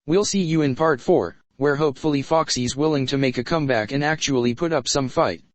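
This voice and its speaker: A man's voice: mid-range voice, brisk. A man hosting a commentary channel, mocking and insulting the person in the clips he plays back. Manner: taunting, insulting, scornful.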